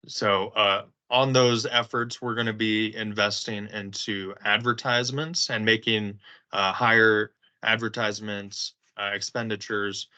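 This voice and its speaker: Bright-voiced man presenting, halting and low. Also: dull audio. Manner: hesitant, halting with very frequent ums and uhs. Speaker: company presenter on a mock earnings call. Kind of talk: business presentation.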